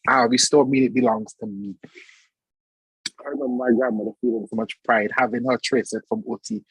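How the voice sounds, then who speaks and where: A man's voice: mid-range voice, fairly even intonation, brisk, fairly bright tone, slightly rough, no echo, low-quality recording. A man on a late-night video call panel, speaking earnestly and reflectively, a little sleepy. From Saint Vincent. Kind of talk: online panel discussion.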